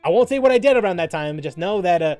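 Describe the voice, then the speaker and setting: Man, slightly high voice, casual gaming streamer, informal rambling talk, faint music behind. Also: lively intonation, fast talker, a little mellow, dry recording. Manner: informal, rambling, mildly profane. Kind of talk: casual stream chat, reading quiz questions aloud and reacting.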